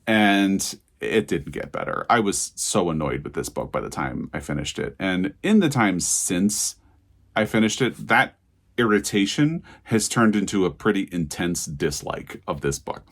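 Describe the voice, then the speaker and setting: Low-voiced man chatting about books. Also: sing-song, measured pace. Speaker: book reviewer and video host. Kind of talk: casual book review chat to viewers.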